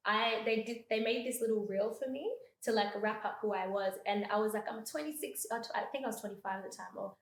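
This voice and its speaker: A woman's voice: medium pitch, fast talker, hardly any pauses, reverberant. A woman in her twenties from Australia with an Australian accent, chatting expressively as a podcast guest and thinking aloud with fillers. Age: 20s. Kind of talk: casual chat.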